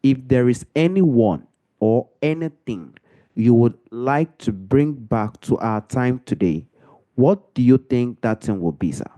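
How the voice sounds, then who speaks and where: A man's voice: mid-range voice, animated, unhurried, mellow. A man hosting a podcast interview in a formal, deferential way, often addressing the guest as sir. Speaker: podcast host.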